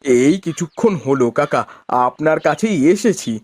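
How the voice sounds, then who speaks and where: A man's voice: on the high side, animated, moderate pace, a little mellow. A man voicing a cheerful, polite farmer husband from a village, in cartoon dialogue. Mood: respectful.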